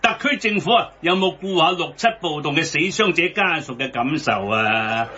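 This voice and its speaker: A man's voice: fairly high pitch, expressive, normal speed, bright, forceful tone, slight background noise. An actor with a Hong Kong Cantonese accent playing an exasperated, outspoken man scolding about politics in a satirical radio drama. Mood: critical. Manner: theatrical, scolding. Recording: slightly dull radio broadcast.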